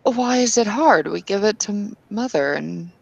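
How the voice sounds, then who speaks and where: A woman's voice: medium pitch, lively intonation, measured pace, gravelly, darkish tone, slightly rough, lo-fi sound, full character voice. A woman playing an earnest young werewolf woman who insists on following instructions, in her own voice. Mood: insistent.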